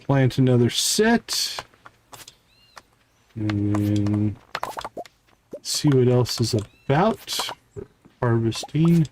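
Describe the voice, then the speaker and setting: A man's voice: mid-range voice, expressive, very slow, pauses often, darkish tone, a little hiss or hum. A man hosting a gaming livestream, thinking aloud in casual, chatty commentary as he plays.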